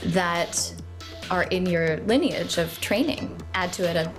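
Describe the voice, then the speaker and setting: A soprano interviewing a composer in casual, thoughtful chat with frequent ums. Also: female voice, fairly low pitch, lively intonation, a little slow, music in the background.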